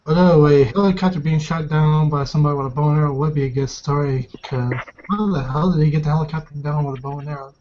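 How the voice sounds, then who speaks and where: A man's voice: fairly high pitch, fairly even intonation, fast talker, a little mellow. A man in casual, rambling, informal chat as a player in a tabletop roleplaying game. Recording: dull lo-fi voice recording.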